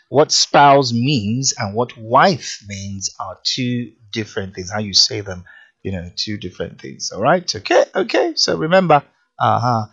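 A Nigerian man hosting a morning radio show, talking in a lively, teasing, emphatic way. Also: mid-range voice, highs cut off. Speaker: radio host.

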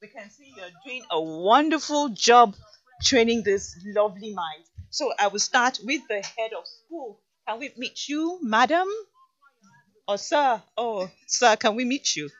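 A woman's voice: a little high, expressive, measured pace, fairly bright tone. A woman presenting an on-location interview, effusive and repeating phrases for emphasis. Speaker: radio presenter visiting a school. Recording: dull lo-fi sound.

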